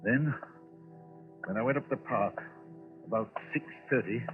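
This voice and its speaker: A man's voice: medium pitch, a little slow, faint music behind, lightly acted. An actor playing an irritable, haunted wealthy man with a clipped, agitated delivery in 1940s radio thriller style. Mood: haunted, uneasy. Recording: muffled old radio broadcast recording.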